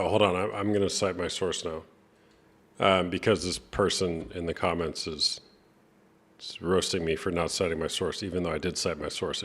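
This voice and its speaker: Host, man near forty, very deep voice, blunt and chatty. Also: a bit bright. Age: 30s. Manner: blunt, chatty, cites sources. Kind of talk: casual debate chat.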